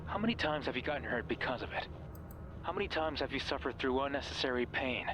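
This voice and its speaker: A man playing a mysterious, controlling guide in a horror game, speaking in a commanding, condescending, deliberate way with menace and false care. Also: mid-range voice, lively intonation, noisy background, lightly acted. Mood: scolding, menacing. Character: mysterious controlling male voice guiding through a hospital, menacing, falsely caring. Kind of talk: horror visual novel voice acting. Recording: music behind the voice.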